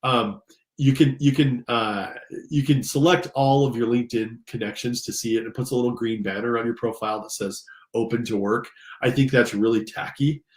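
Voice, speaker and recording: A man's voice: mid-range voice, steady tone, moderate pace. An American man, slightly stuffed up, giving an informal, enthusiastic tutorial and speaking directly to his listeners. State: slightly congested from allergies. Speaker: career coach and instructor. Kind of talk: informal screen-share tutorial. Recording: roomy, echoing sound.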